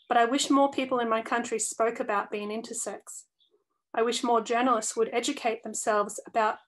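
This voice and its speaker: A young woman earnestly reading a first-person essay aloud for a youth publication, with a steady delivery and small stumbles along the way. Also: fairly high pitch, rough recording. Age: young adult.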